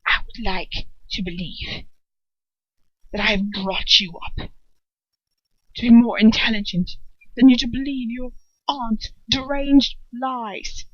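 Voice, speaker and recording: A woman's voice: fairly high pitch, fairly even intonation, takes it slowly, pauses often, slightly rough, dry recording. Woman putting on a cold, domineering wizard father. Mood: stern fury. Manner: stern, clipped commands, hissed contempt. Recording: dull lo-fi home recording.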